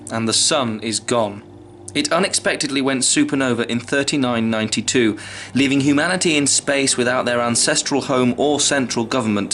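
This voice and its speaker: A man with a mid-pitched voice delivers scripted space-saga lore in a measured, solemn way. Soft music sits faintly behind him. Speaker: lore narrator. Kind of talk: scripted dramatic sci-fi narration.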